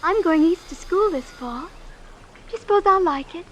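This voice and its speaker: Naive rich teenage girl, very high voice, artlessly candid, self-assured, old radio drama, noisy recording. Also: animated, unhurried. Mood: sweetly curious. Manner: artless, matter-of-fact candor.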